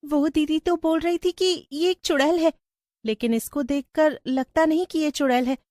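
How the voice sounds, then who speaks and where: A woman playing an earnest, plaintive young village wife in an animated story. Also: sits high, moderate pace, dry recording, clean studio sound, close to an everyday voice. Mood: doubtful. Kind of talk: animated Hindi story acting.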